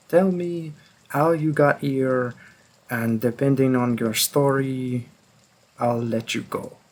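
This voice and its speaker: A man playing a centuries-old vampire hunter, coolly menacing, deliberate and wry, in a dramatic audio roleplay with a husky voice. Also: medium pitch, poor audio. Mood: wry, restrained. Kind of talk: slow dramatic second-person roleplay monologue.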